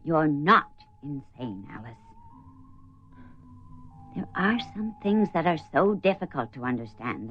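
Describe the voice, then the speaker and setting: Low, swooping, solemn spirit medium. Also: female voice. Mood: urgent, haunted. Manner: solemn, insistent, dramatic pauses. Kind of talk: radio drama acting. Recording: muffled radio play recording, noisy ambience.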